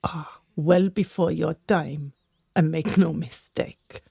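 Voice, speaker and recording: Husky, creaky old gossiping servant woman, acted. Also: sits low, fairly even intonation, slow, dark tone, no room sound, studio quality, heavily acted. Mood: conspiratorial. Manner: conspiratorial, theatrical. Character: gossiping older servant woman, secretive and dramatic. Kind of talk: radio drama acting.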